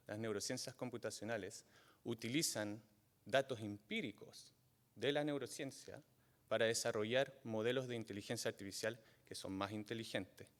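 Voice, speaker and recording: A man, not from Chile, giving a university guest lecture, halting often with fillers and restarts. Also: mid-range voice. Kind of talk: academic lecture. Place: lecture hall. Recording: long reverb of a lecture hall.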